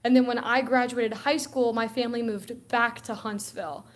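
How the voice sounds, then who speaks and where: A young woman from Alabama chats about college football as a show guest. Her voice sits a little high, and she talks in a loose, chatty way, laughing often and acting out bits of conversation. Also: fairly even intonation, normal speed. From Alabama, USA. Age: young adult. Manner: chatty, laughs often, acts out quoted exchanges. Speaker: guest co-host. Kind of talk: casual sports chat.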